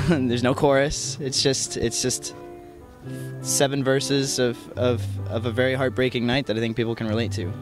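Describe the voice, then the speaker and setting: A man's voice: mid-range voice, little pitch movement, moderate pace, music in the background. A man, a band's drummer, chatting in an interview and tossing in dry, joking asides. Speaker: drummer of a rock band. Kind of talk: casual interview chat.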